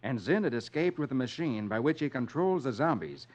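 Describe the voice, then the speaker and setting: An actor playing a jolly comics-reading friend to children, in a theatrical 1950s radio storytelling style. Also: male voice, medium pitch, a little fast, lightly acted. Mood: dramatic storytelling. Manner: jolly, animated. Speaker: radio host and comics reader. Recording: lo-fi old radio, loud music behind.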